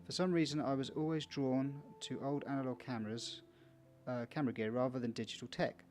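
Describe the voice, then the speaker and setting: A man's voice: medium pitch, steady tone, fairly quick, a little mellow, soft background music. A man playing a video game for viewers, casually reading its text aloud with small stumbles and chatty asides. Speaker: video game let's player.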